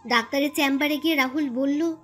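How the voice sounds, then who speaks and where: A woman's voice: sits high, steady tone, brisk, fairly bright tone, faint music behind. A woman reading scripted story narration as the narrator.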